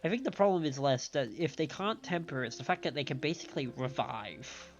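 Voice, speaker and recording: A man hosting a game stream, reading story dialogue aloud in a measured way with small stumbles and adding his own commentary. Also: a little high, animated, highs cut off. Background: game music.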